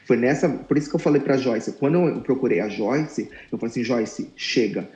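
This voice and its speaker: Earnest thirties man, mellow tone, reflective and halting, echoey room. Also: medium pitch. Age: 30s. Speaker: guest, former client. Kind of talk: candid interview talk. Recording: roomy echo.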